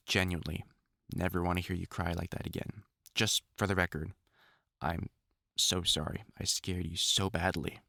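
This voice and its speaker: Man playing a wry, caring vampire hunter, lively pitch. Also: gravelly, dry recording, clean studio sound, full character voice. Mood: tender, remorseful. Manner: halting, wry, earnest, conversational. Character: gruff, caring older experienced male vampire hunter, mentoring. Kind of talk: intimate audio roleplay, speaking to the listener.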